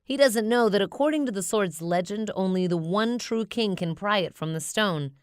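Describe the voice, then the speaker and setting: A woman narrating a children's storybook in an expressive read-aloud style. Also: mid-range voice, normal speed, smooth, no echo, studio quality.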